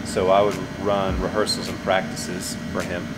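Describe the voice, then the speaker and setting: A man in his thirties, an audio-visual installer, calmly explaining technical setup work with a light, self-joking manner. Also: low-pitched, normal speed, heavy background noise. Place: church worship center. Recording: loud music behind.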